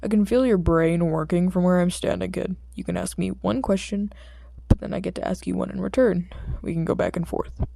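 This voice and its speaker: Woman doing a low, gruff, clipped grown man. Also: a little fast, dry recording. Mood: curt, deadpan. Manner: clipped, curt, deadpan. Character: gruff, annoyed, tall adult male hero.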